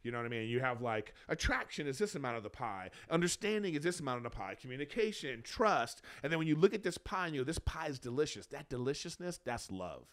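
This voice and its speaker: A man's voice: medium pitch, big pitch swings, fast talker, hardly any pauses, fairly bright tone. A man of about fifty co-hosting a podcast, giving advice in casual, rambling, emphatic explanations. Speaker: podcast co-host.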